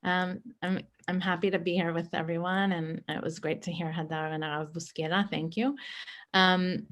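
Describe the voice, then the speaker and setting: A young woman from Oakland with a somewhat low voice, speaking over a video call. She sounds chatty and upbeat, and she fills her talk with likes and ums as she speaks on a panel. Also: little pitch movement, normal speed, fairly bright tone. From Oakland, California.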